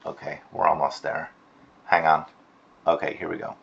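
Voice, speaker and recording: Male instructor, deep voice, informal and encouraging critique delivery, tentative phrasing, muffled lo-fi sound. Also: little pitch movement, normal speed, gravelly, slight background noise. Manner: encouraging, tentative, softening suggestions. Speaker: animation instructor giving a critique. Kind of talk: casual spoken critique of student work. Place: screen-recorded voice-over.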